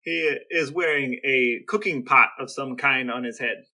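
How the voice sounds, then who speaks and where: Male co-host, easygoing, bright forceful tone. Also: mid-range voice, a little slow. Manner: laid-back, chuckles often. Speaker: podcast co-host. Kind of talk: casual chat.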